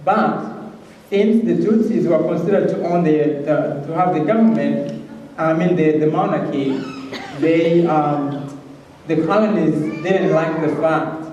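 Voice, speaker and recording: A man's voice: a little high, a little mellow, lo-fi sound. Echoey hall, Rwandan-accented man speaking. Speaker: guest speaker giving testimony. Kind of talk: personal testimony to a church audience. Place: large church hall, speaking to an audience. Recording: very echoey hall, distant mic, faint noise.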